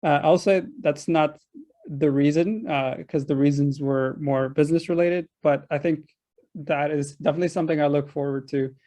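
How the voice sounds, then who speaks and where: A man's voice: fairly high pitch, fairly even intonation, moderate pace, warm tone. An easygoing man from Algeria answering questions as a panelist. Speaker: startup visa panelist.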